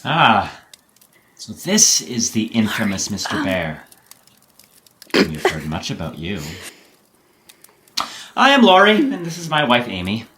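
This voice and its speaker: A woman acts an affectionate young gentleman of the 1800s. The voice is very deep for a woman and highly animated, playful in delivery, over slight noise and clicks. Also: takes it slowly, pauses often, heavily acted. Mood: amused, welcoming. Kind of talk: period audio drama acting.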